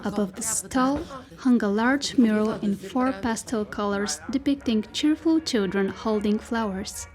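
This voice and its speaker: A woman performing as the storyteller of a dystopian folk tale, reading scripted literary narration in a measured, descriptive way. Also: a little high, crowd noise, lightly acted.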